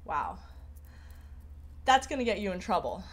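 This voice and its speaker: Slow-talking rambly woman streamer. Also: medium pitch, sing-song. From Persian heritage. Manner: rambling, with many fillers and restarts. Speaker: livestream host. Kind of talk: casual livestream chat reading viewer comments.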